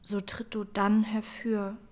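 Woman acting a breathy, condemned young mother. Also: mid-range voice, flat delivery, a little mellow, close to an everyday voice. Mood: solemn, prayerful. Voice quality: breathy, often whispering. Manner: halting, tender, solemn. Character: condemned young mother in prison, tender, bravely composed. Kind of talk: German radio drama acting.

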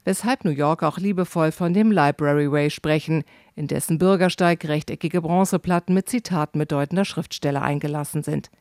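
A man with a slightly higher voice than most reads travel narration in a measured, descriptive way. The sound is dry and close, with no room around it. He keeps an even, scripted reporter's pace. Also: dry recording.

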